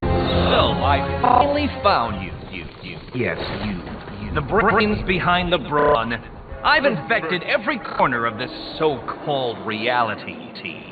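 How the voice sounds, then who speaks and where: An actor playing a smug, boastful mastermind villain in a video game, with pompous, theatrical, mocking taunts. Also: male voice, on the high side, huge pitch swings, a little slow, full character voice. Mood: gloating. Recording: tinny narrow-band audio, distant, music behind. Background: music.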